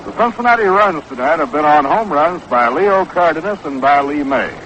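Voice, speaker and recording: A man's voice: on the high side, expressive, moderate pace, a bit bright, dull audio, slight background noise. An American man calling baseball play-by-play in the style of a 1960s live radio broadcast. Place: outdoor ballpark broadcast booth.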